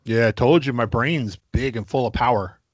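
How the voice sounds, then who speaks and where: Guy on a podcast mic, crude and braggy, slow emphatic talk, dead dry sound. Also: medium pitch, dry recording. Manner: crude, boastful mock-macho bits, slow emphatic delivery. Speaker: podcast co-host. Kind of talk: casual comedic podcast banter.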